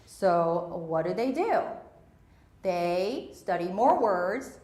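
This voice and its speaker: A woman's voice: lowish voice, sing-song, very slow, a little mellow, roomy sound. A young woman, a native Korean speaker raised in Southeast Asia, giving an emphatic motivational talk on stage and repeating her key phrases. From Korea, raised in Malaysia and Singapore.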